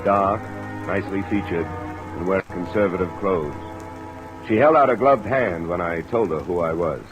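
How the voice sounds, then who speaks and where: A cool investigator leading a vintage radio detective drama acted by a man. The voice is low, and the delivery is laconic and matter-of-fact. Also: normal speed, music in the background, close to an everyday voice, poor audio. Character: cool, laconic male freelance insurance investigator. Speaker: lead character and narrator.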